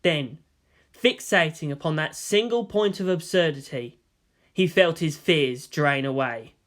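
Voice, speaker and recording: A man's voice: fairly high pitch, a little slow, a bit bright. A man reading fan fiction as narrator, in a dramatic scripted storytelling style.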